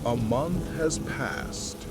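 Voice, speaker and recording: An actor playing a grave narrator of an apocalypse radio broadcast, reading scripted dramatic narration. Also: male voice, medium pitch, animated, very slow, very noisy.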